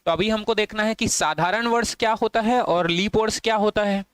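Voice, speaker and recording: A man's voice: high-pitched, brisk, a bit bright, clean tone, dry recording. A man teaching an online exam-prep lesson, repeating points and asking and answering his own questions, with English phrases mixed into his Hindi.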